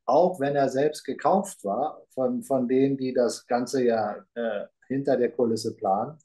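A man's voice: medium pitch, unhurried, mellow, rough recording. Emphatic middle-aged man on a video call. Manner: gesturing, rambling, emphatic with rhetorical questions. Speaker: talk show co-host. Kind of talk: casual conversation. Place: room with a green grass backdrop. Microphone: video call webcam.

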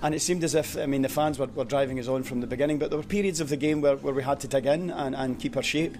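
Fast-talking male sports interviewer. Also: medium pitch, fairly even intonation, a little mellow, slight background noise. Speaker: club TV interviewer. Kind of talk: post-match interviewing.

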